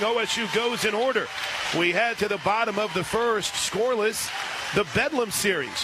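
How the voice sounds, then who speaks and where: A man doing live radio play-by-play of a softball game, excitable on big plays. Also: high-pitched, background noise.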